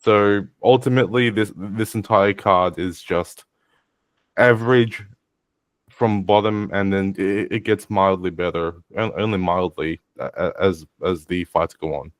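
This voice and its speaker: A man's voice: low voice, fairly even intonation, a little slow, no echo. Blunt, sarcastic man ranting. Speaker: podcast co-host. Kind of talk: casual ranting chat.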